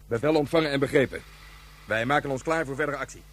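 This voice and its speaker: Actor as male colonel, fast clipped delivery, husky raised voice, formal and obedient, science fiction radio play. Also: medium pitch, a bit bright, lightly acted. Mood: brisk, formal. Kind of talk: radio drama acting.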